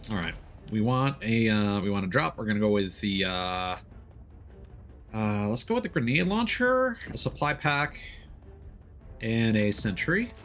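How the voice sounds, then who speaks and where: A man's voice: lowish voice, very animated, measured pace, long pauses, fairly bright tone, faint music behind. A man doing live let's-play commentary, casually explaining the game as he plays. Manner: casual, instructive. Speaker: game streamer. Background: video game ambience.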